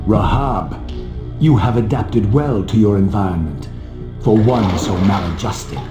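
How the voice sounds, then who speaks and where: A man playing a bitter, vengeful vampire wraith in a video game, measured, eloquent and theatrical. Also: fairly low pitch, expressive. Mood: cold, vengeful. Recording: echoing, music underneath.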